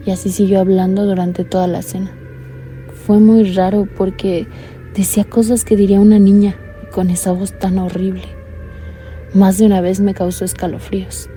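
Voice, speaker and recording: A young woman playing a blind caller recounting a scare in a soft, earnest, confiding way. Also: medium pitch, natural voice. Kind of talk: radio drama narration.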